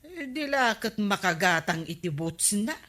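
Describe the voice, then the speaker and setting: A woman's voice: medium pitch, big pitch swings, unhurried, a bit bright. A woman playing an inquisitive, nagging village woman with an Ilocano accent in a radio comedy drama, questioning in a matter-of-fact way. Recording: faint music under voice. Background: faint music.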